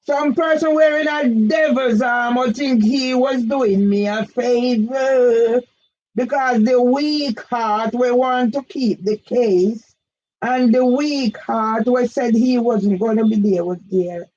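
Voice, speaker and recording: An older woman with a Jamaican accent, delivering an agitated, repetitive monologue for her own channel that rises now and then into shouts. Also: a little high, slow pace. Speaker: vlogger. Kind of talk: impassioned monologue. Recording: dull lo-fi audio.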